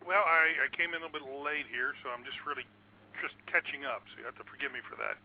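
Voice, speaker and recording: A man's voice: on the high side, crowd noise. A man calling in to a radio show, talking hesitantly and stumbling with "uh" as he asks his question. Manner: hesitant, halting with uh. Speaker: radio caller. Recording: dull lo-fi phone audio.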